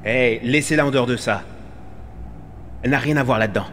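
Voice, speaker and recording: A man voicing an earnest, protective astronaut crewmate who protests accusations in an animated fan dub. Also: mid-range voice, brisk, a little hiss or hum, lightly acted. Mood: indignant.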